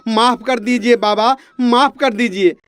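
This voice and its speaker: A man voicing a naive, pestering drunken villager in a Hindi moral cartoon, with insistent, drawn-out speech. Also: extremely high pitch, fairly even intonation, fairly bright tone, smooth, dry recording. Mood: remorseful, pleading.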